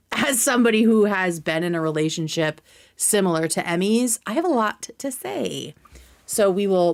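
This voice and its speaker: A woman hosts a livestream in a medium-pitched voice. She talks casually to her chat in an informal, scattered way. Her language is loose, and she swears freely. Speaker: livestream host.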